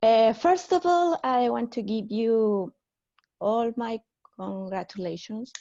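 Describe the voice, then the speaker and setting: A woman's voice: fairly high pitch, slow, mellow, no echo, lo-fi sound. A woman from Chile with a Chilean Spanish accent, a native Spanish speaker asking a question hesitantly in English. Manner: hesitant, searching for English words. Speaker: webinar participant.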